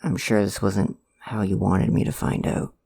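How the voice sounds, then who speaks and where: A performer playing a nervous, loving, jokey adoptive father in an ASMR roleplay, speaking in a halting, conversational way with a creaky voice. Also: deep voice, flat delivery, darkish tone. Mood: tender, reassuring. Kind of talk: ASMR audio roleplay, one-sided dialogue.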